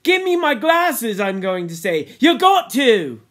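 A man's voice: extremely high pitch, big pitch swings, slow, fairly bright tone, voice pushed up, exaggerated voice. A man voicing an indignant, pleading young boy in a storybook reading, speaking insistently in colloquial schoolboy grammar.

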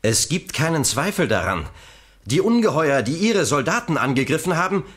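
A man's voice: a little high, big pitch swings, normal speed, fairly bright tone, husky, exaggerated voice. A man playing a brave, determined young hero in a children's science-fiction audio drama, urgent and decisive. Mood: grave.

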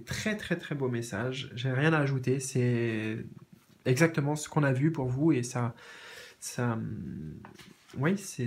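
A man with a cold and a sore throat giving a tarot reading in a gentle, repetitive way with many fillers. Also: medium pitch, breathy edge. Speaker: tarot reader. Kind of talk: tarot reading, reading out card meanings. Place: indoors at a card table.

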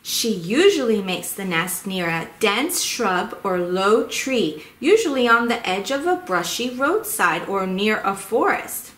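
A woman's voice: medium pitch, sing-song, unhurried, hardly any pauses, fairly bright tone. A woman voicing a cheerful male songbird in an educational children's puppet show, chirpy and explanatory. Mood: proudly explaining. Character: cheerful male indigo bunting bird, proud father-to-be. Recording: roomy echo.

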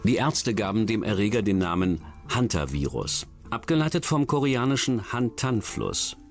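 A man reading scripted documentary narration about science and crime in a measured, dramatic, clearly enunciated way. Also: fairly low pitch, big pitch swings. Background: music.

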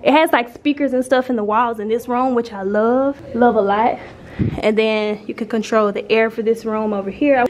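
An American woman in her twenties chatting casually and playfully to the camera as a vlogger, in colloquial, animated speech. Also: on the high side, moderate pace. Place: house, car and restaurant booth. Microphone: handheld camera at arm's length. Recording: noisy handheld camera audio.

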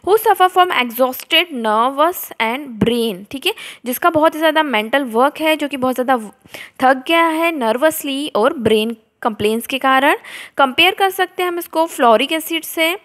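A woman's voice: sits high, animated, a little slow, a bit bright. A young woman with an Indian accent giving an explanatory lecture, reading notes aloud and then explaining them. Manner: switches between reading out and explaining. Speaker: homeopathy lecturer.

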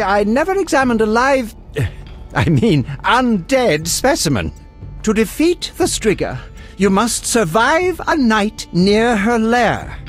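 An eccentric game alchemist, high-voiced and very animated. He speaks like a fussy scholar, precise and morbidly curious, over faint music. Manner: erudite, precise, relishing grisly detail. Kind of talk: video game dialogue acting.

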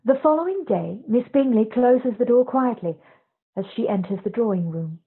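Husky woman narrator, muffled recording. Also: a little high, animated, moderate pace. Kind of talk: scripted narration of stage directions. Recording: dull, lo-fi video-call audio.

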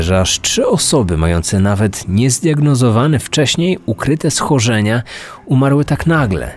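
A man hosting a true-crime podcast in scripted narration. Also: mid-range voice. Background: music under the voice.

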